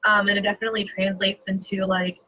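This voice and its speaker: A woman's voice: mid-range voice, flat delivery, quick pace, bright, forceful tone, no room sound, low-quality recording. A woman on a discussion panel, explaining her thoughts steadily. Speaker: panel member.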